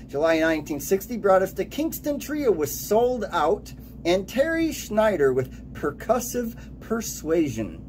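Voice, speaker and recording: Lively man in his late forties, DJ-style. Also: sits high, unhurried, slight background noise. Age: late 40s. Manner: upbeat announcer delivery, listing titles and years. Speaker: radio DJ. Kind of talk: radio DJ countdown narration.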